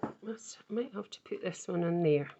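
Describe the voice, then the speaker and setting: Croaky woman chatting through a craft. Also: on the low side, normal speed, mellow, dull audio, slight background noise. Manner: chatty, thinks aloud while working. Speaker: card-making crafter. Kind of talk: casual craft tutorial.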